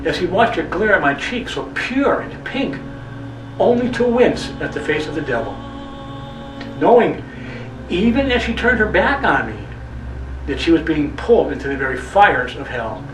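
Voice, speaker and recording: A man delivers a brooding, theatrical literary monologue as a storyteller, with deliberate, repetitive phrasing. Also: on the high side, sing-song. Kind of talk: dramatic literary monologue narration. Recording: echoey, distant mic, music underneath. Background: music.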